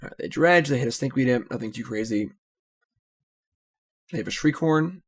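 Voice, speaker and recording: A man casually commentating his own card game, analytical and relaxed, often trailing off with "so". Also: mid-range voice, normal speed, breathy edge.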